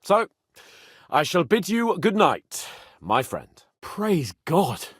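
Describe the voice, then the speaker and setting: A man playing a spoiled, cocky young nobleman in a video game, flippant and theatrical, swinging between whiny and wry, with a husky voice. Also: a little high, wildly swooping pitch, takes it slowly, a bit bright, no echo, clean studio sound, heavily acted. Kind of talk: video game character voice acting.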